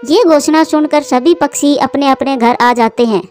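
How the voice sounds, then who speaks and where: A woman's voice: high voice, moderate pace, darkish tone. A woman narrating a scripted children's story as storyteller. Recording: music behind the voice.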